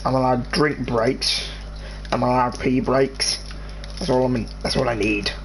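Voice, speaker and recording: Brief: English teen lad, mid-pitched, rambly gaming host chat over background noise. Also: male voice, fairly even intonation, poor audio. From England. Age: teenager. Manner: rambling, halting, restarts sentences. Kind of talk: casual chat over gameplay.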